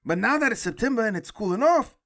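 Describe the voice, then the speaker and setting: A man plays a harried middle-aged police commissioner in an audio drama. He is stern and world-weary, pushing his words out emphatically with a lively, swinging pitch. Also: fast talker, exaggerated voice. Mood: weary, wry.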